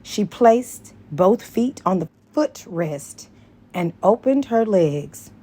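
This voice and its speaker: A woman's voice: mid-range voice, animated, slow, warm tone, husky. A woman reading a story aloud as scripted audiobook narration. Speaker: audiobook reader.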